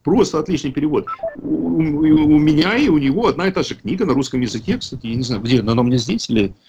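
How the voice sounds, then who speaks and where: A man's voice: a little high, expressive, fast, darkish tone, lo-fi sound, a little hiss or hum. A man in his fifties, raised in a Russian-speaking country, speaking casually and emphatically at an online recovery meeting, often correcting himself mid-sentence. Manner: rambling, self-correcting, emphatic.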